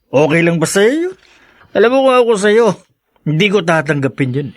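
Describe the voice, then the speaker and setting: An actor playing a brash, callous Filipino drinking buddy in a radio drama, scoffing, teasing and pushy. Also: male voice, a little high, very animated, brisk, no echo, clean studio sound. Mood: scornful.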